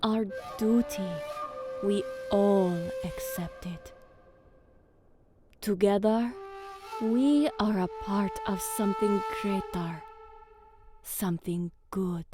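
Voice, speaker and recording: A woman playing a composed female alien commander, narrating and speaking in a measured, formal, grave manner in an audio drama. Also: medium pitch, close to an everyday voice. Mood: reflective, proud. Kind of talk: dramatic first-person narration and dialogue. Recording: voice over background music.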